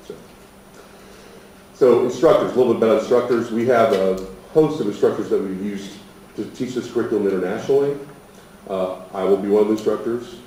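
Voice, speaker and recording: A man's voice: deep voice, warm tone. An American man giving an informal presentation as an instructor, plain-spoken and self-deprecating. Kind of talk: informal presentation at a programme opening. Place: echoey indoor presentation room. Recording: echoey room, distant mic, faint noise.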